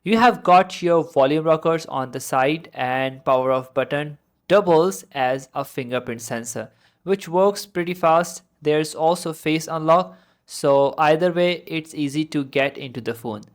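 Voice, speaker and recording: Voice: mid-range voice, unhurried. A tech reviewer narrating a product review in an informal, enthusiastic way.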